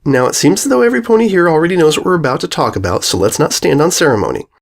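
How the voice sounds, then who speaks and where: A man voicing a cheerful female schoolteacher pony in a storybook reading, upbeat with a strained brightness. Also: fairly high pitch, sing-song, racing, gravelly, pitched above the performer's own voice, exaggerated voice. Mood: forced cheer. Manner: burbling, upbeat.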